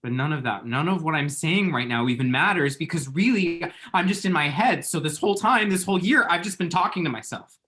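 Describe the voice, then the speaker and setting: A man's voice: on the high side, expressive, a little fast, few pauses, pushed and bright. Man acting an anxious, rambling lovestruck young guy. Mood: hurt, accusing. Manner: chatty, rambling, emphatic. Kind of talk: screenplay table read acting.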